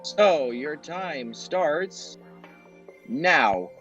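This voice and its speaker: A man's voice: fairly high pitch, expressive, drawn-out words, a bit bright, highs cut off. A man hosting a quiz show, addressing contestants directly in a presenter's delivery. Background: background music.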